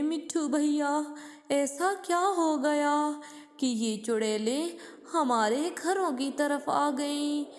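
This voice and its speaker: A woman's voice: high voice, background noise, pitched above the performer's own voice. A woman voicing an anxious, exclamatory jungle bird who fears for the little ones in a cartoon story. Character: worried female jungle bird, fearful for the children. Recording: long reverb, music behind. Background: music.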